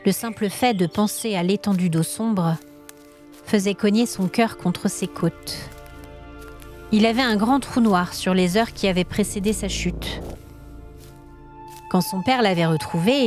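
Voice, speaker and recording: A woman's voice: medium pitch, darkish tone. A woman storyteller reading a scripted fantasy tale as dramatic narration. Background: music.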